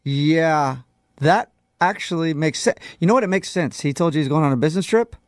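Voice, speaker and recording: A man's voice: on the high side, brisk, no echo, studio quality, lightly acted. A man playing a chatty county court clerk on a prank phone call, casual, evasive and amused as he breaks awkward news. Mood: evasive, gossipy.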